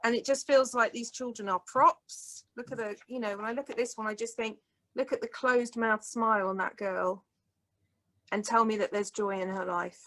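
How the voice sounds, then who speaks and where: Emphatic woman speaker, slightly high voice. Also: fairly even intonation, moderate pace, fairly bright tone, low-quality recording. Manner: emphatic, indignant. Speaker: guest speaker. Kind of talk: online talk Q&A.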